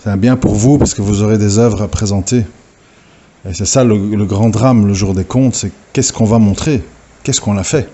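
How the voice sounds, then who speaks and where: Man, informal religious lecture, hesitant, many fillers, deep, soft dark tone. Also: normal speed, a little hiss or hum. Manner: hesitant, frequent "euh" and restarts, lecturing. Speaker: religious preacher. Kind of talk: informal recorded audio talk.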